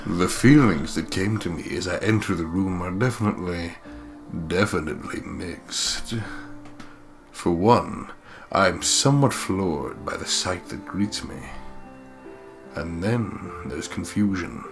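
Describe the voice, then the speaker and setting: A man reading the inner monologue of a weary, exasperated married businessman in a measured, brooding way. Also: low-pitched, over music. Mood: torn, wry. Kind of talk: dramatic visual novel reading.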